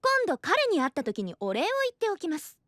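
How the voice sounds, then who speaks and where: Lively, high-pitched, blunt anime heroine. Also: female voice, fairly quick, dry recording, studio quality, full character voice. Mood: exasperated. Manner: casual, blunt, mildly sarcastic. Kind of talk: Japanese anime audio drama acting. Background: faint background music.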